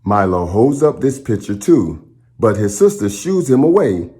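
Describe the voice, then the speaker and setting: A man reading a children's story aloud as a storytime host, with dramatic, pausing phrasing aimed at young listeners. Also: lowish voice, big pitch swings, dark tone. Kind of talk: expressive picture-book read-aloud.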